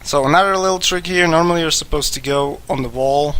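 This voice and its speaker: A man's voice: a little high, quick pace. Czech man narrating a speedrun. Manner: relaxed, explanatory, frequent fillers. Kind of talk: live speedrun commentary.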